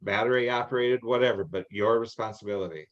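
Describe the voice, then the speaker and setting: An older man answering questions in an informal meeting, explaining rules in a halting, anecdotal way. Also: on the low side. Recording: dull, lo-fi online call audio.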